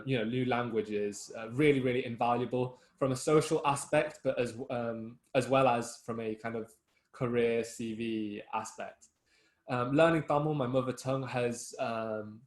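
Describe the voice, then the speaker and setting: Casting: young man, earnest student mentor, mid-range voice, halting with ums, recorded in a reverberant room. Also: medium pitch. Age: young adult. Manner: earnest, many fillers and restarts. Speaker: student giving advice talk. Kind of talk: informal talk to school students.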